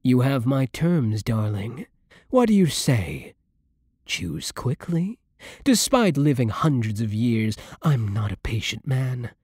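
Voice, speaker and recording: A man voicing a centuries-old, possessive vampire lord in an ASMR roleplay, silky and commanding with a breathy voice that often sinks into whispers. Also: medium pitch, very animated, a little mellow, no room sound, clean studio sound, full character voice. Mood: menacing. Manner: slow, theatrical, silky, commanding, condescending. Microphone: close studio microphone, dry.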